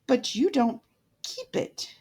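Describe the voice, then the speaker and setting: A woman voicing a cheerful, warm-hearted young man in a storybook reading, bright and good-humoured. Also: high-pitched, big pitch swings, takes it slowly, slightly rough, voice pushed up, heavily acted. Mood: pleading.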